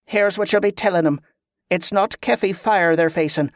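A man voicing a stern, high-pitched female commander. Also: moderate pace, no echo, studio quality, natural voice. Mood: scornful, instructing. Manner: clipped commands in inverted dialect phrasing. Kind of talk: fantasy audiobook character voice.

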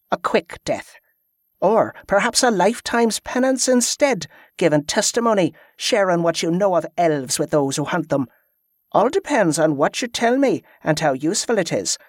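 A man's voice: fairly high pitch, no echo, studio quality. Man voicing a ruthless woman commander. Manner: cold, clipped, threatening.

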